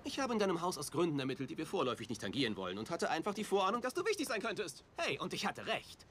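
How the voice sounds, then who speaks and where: A man's voice: fairly high pitch, huge pitch swings, rapid-fire, a bit bright, slight background noise. A man playing an eccentric, cheeky, self-assured private detective in a whimsical, theatrical dubbed TV drama style. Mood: grandly confident. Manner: whimsical, theatrical, swings from grand to offhand. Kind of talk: dubbed TV comedy-mystery drama acting.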